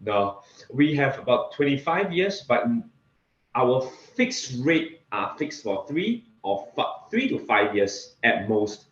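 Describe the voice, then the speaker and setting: A man from Singapore with a Singaporean accent, talking casually as an interview guest in a halting way, often repeating words. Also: mid-range voice, very animated. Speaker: interview guest, real estate investor. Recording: echoey room, slightly dull sound.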